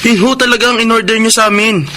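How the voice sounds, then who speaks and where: A man's voice: very high voice, steady tone, fast, sharp tone, slight background noise. A man playing a humble, anxious young waiter and devoted older brother in a Filipino radio drama, polite and deferential. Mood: flustered, pleading. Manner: polite, deferential, stammering when flustered. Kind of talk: Filipino radio drama acting with first-person narration.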